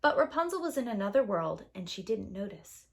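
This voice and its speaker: A woman with a medium-pitched voice narrates a storybook for young children. Her reading is scripted and expressive, with dramatic phrasing suited to a preschool story time. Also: a little fast.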